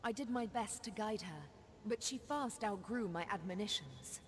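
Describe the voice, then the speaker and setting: An actress playing a proud, sorrowful forest witch in a fantasy video game, telling her tale in measured, formal speech. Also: medium pitch, slight background noise, natural voice. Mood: sorrowful, reflective. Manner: measured, solemn, formal storytelling. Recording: long reverb on game dialogue.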